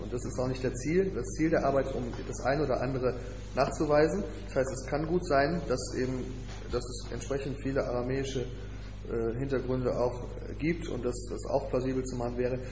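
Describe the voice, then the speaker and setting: Academic answering questions, calm and explanatory, reverberant muffled room recording. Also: male voice, mid-range voice. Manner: measured, explanatory. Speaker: lecturer presenting his research. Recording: echoey hall, muffled, noisy.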